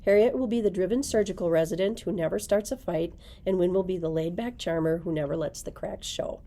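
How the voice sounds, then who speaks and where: A woman's voice: on the low side, fairly quick, dark tone. A middle-aged woman librarian presenting book picks, reading blurbs aloud in a library room. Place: indoors, library room with bookshelves.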